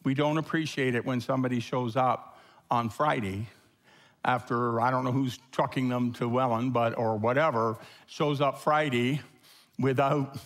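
A man's voice: medium pitch, fairly even intonation, moderate pace, fairly bright tone. A man in his sixties with a Canadian accent, a pastor giving chatty church announcements from a sanctuary stage, full of fillers. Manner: rambling, chatty, many fillers.

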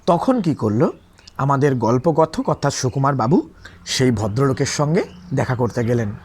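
A man's voice: medium pitch, animated, normal speed, a little mellow, a little hiss or hum. A man teaching a lesson, retelling a story in an explanatory way, repeating and rephrasing points and posing rhetorical questions.

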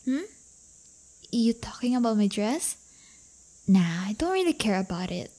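A woman's voice: a little high, big pitch swings, unhurried, mellow. A woman playing a brash, playful teenage delinquent girlfriend in an intimate audio roleplay, with slangy, teasing, casual talk. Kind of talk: audio roleplay acting, intimate one-sided dialogue.

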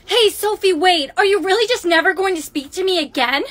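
A woman's voice: very high-pitched, a little fast, a bit bright, soft background music. A woman playing an earnest, anxious teenage schoolgirl in a comic horror skit, with a pleading manner. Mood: urgent.

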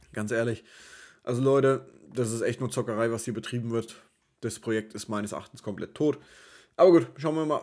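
A young man talks fast and casually through market charts at his desk, his voice a little hoarse from a cold. He tosses out trader jargon and quick fillers. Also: mid-range voice, a little mellow. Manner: brisk, offhand trader talk full of jargon and fillers. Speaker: crypto chart analyst and channel host. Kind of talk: casual market analysis over screen-shared charts.

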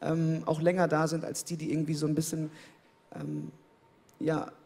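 A man in his thirties, interviewed on a studio stage, speaking into a handheld mic in a relaxed, thoughtful way, often repeating words as he searches for them. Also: fairly high pitch, moderate pace. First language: German. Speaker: interviewed pop singer. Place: indoor studio stage, seated in armchair. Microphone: handheld microphone.

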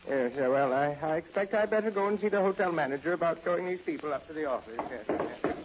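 A man's voice: fairly high pitch, lively intonation, fast talker, close to an everyday voice. A man playing a flustered, hesitant office assistant in 1930s radio drama style. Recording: muffled 1930s radio recording, faint noise.

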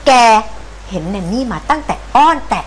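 A woman's voice: fairly high pitch, big pitch swings, fast talker, heavy background noise. An actress playing a stern, bossy old grandmother who scolds dramatically. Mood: furious. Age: older. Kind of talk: television drama acting. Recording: music score behind.

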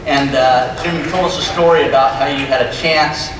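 A man's voice: medium pitch, steady tone, fairly quick, distant mic. A middle-aged man hosting an informal onstage interview. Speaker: panel host. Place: large stage hall, recorded from audience. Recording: echoey, noisy crowd hall from afar.

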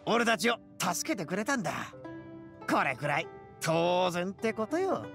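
A man voicing a brash young oni gang boss in an anime game, boisterous and cocky, with rough, swaggering, slangy speech. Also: sits high, very animated, moderate pace, music in the background. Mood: cocky, excitable.

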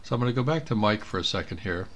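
A man's voice: fairly low pitch, expressive, rapid-fire, fairly bright tone. A man giving a casual screen tutorial as an amateur radio operator, thinking aloud as he works. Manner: thinking aloud while working. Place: indoors at a computer.

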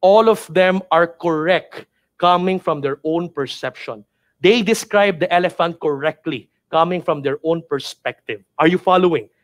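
A man's voice: a little high, moderate pace. A Filipino man in his thirties with a Filipino accent, a native Tagalog speaker, giving a motivational training talk in an emphatic, repetitive, urging manner. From Philippines. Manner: emphatic, repetitive, preacher-like urging with rhetorical questions. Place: indoor studio with virtual backdrop. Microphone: headset mic.

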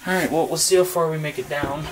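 A young man casually narrating his walk through an abandoned house, chatty and relaxed. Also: fairly high pitch, quick pace, slight background noise. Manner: relaxed, chatty, frequent "like" and laughs. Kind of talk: casual walkthrough vlog. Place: inside an empty abandoned house.

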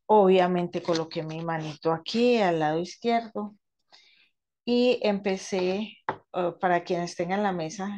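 A woman gives an informal tutorial as a therapist, explaining haltingly and often rephrasing herself. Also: fairly low pitch, darkish tone. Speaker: radionics therapist demonstrating a quantum table. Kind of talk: informal spoken tutorial with personal anecdotes. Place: indoors at a table, seated.

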